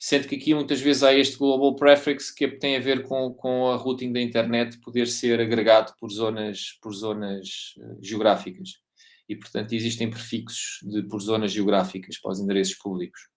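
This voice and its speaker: A man's voice: medium pitch, level tone, normal speed. A man with a European Portuguese accent giving an informal technical lecture, often repeating and rephrasing himself and checking that listeners follow. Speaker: university lecturer.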